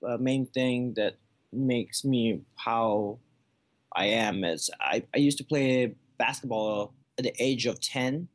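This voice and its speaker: A man from Malaysia in his thirties, a juggler answering interview questions. He talks in an easygoing, casual way, full of "like" fillers and small restarts, at a middle pitch. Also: slow pace. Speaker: juggler, guest.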